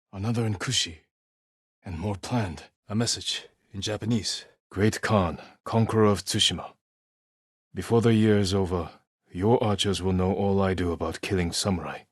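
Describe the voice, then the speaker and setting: A husky, fairly low male voice as a stoic samurai in a dramatic video game. He speaks in terse, measured phrases with grave determination. The sound is close and dry. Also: no room sound. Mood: grim, reading aloud. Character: stoic, determined samurai warrior, adult man.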